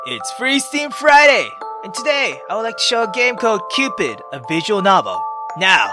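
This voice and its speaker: A male gaming host giving casual commentary, rambling through fillers and dropping swear words freely. His pitch swings up and down in a lively way. Music runs behind his voice. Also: normal speed.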